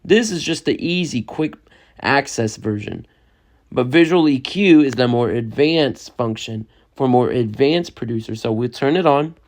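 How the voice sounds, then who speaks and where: A young man, a music producer, giving a loose, chatty step-by-step software lesson and often checking in with the listener. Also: mid-range voice, animated, a little slow, a little hiss or hum. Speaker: music producer teaching a tutorial. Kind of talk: casual step-by-step software tutorial.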